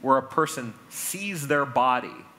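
A man's voice: mid-range voice, lively intonation, unhurried, pushed and bright. A man in his forties with an American accent, giving a public talk as a conference speaker on a large indoor stage. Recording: stage mic with clear background noise.

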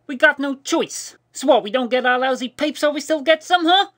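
A woman's voice: high voice, animated, normal speed, fairly bright tone. A woman voicing an aggrieved young newsboy with slangy, questioning speech in an audio story. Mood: resigned.

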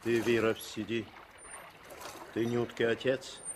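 A quiet, resolute sailor acted by a man in an old radio drama. He speaks extremely slowly at a middling pitch, with faint water noise behind. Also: flat delivery, close to an everyday voice. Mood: quiet resolve. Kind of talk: 1960s radio drama acting.

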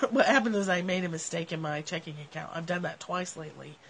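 A woman's voice: lowish voice, fairly quick, slightly rough. Nasal woman with a cold, musing. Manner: animated, gestures a lot while talking. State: has a cold. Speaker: livestream host. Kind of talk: casual reflective talk to viewers. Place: covered outdoor porch. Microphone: phone at arm's length.

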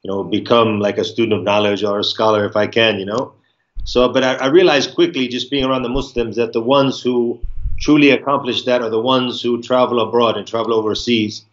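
Fast-talking American man on a muffled video call. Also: medium pitch, steady tone. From United States (Virginia). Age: 40s. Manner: talkative, frequent restarts and fillers, Arabic religious phrases. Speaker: guest speaker, Islamic lecturer. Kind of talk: live online interview. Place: indoor room, plain wall. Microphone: video call webcam.

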